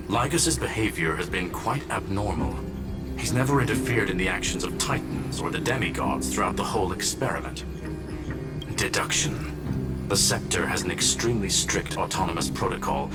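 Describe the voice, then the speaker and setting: Man acting a formal, low-voiced logical robot scholar. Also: natural voice. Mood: analytical. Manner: formal, clipped one-word announcements, analytical. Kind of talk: video game voice acting. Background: game music.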